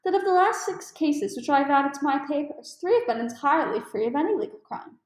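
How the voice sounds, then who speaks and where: A woman voicing a polite, curious male friend of a detective, measured and inquiring, in a storybook read-aloud. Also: sits high, lively intonation, reverberant.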